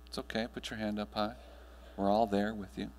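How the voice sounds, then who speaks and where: A fairly deep-voiced American man in his thirties addresses a conference room as a keynote trainer. He gives measured, instructional guidance with deliberate pauses. His voice carries the reverb of a large hall. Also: little pitch movement, a little mellow. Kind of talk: guided breathing meditation and talk to an audience. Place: large hotel conference ballroom, on stage.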